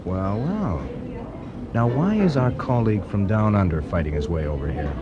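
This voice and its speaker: A man's voice: on the low side, lively intonation, moderate pace, dull audio, natural voice. An actor playing a wry, friendly young male student, teasing and breezy, in a radio drama. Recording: noisy busy background ambience.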